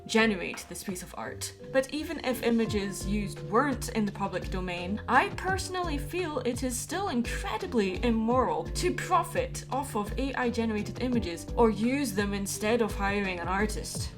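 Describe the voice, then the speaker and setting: Female video commentator, mid-pitched, earnest and opinionated, clear music bed underneath. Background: background music.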